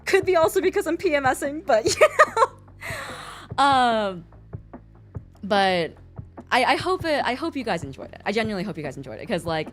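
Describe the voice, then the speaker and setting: Casual livestreamer: young woman, American accent, Mandarin first language, lively swooping pitch, chatty and giggly, faint music underneath. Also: normal speed, long pauses. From born in China, raised in the US from about age six. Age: young adult. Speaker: streamer.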